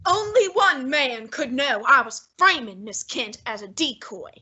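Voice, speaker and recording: Girl doing a pompous genius detective guy, high bright slightly breathy voice, talks all precise and lofty. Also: animated, exaggerated voice. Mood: deducing. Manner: precise, lofty, measured diction. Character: portly, pompous male genius detective, unflappable. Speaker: student actor. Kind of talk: student radio drama.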